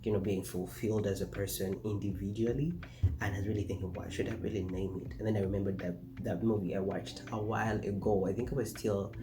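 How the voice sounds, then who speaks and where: A man's voice: on the low side, level tone, quick pace, noisy background. A man, chatting casually to camera in a rambling way, full of fillers and restarts. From Uganda. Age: 20s. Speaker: vlogger. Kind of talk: casual talking-head vlog.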